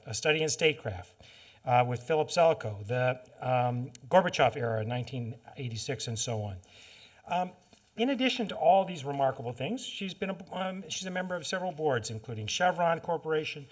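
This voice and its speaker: A man hosting a public forum, giving a formal spoken introduction in a halting manner with restarts. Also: medium pitch. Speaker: event host introducing a speaker. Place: public lecture forum with an audience.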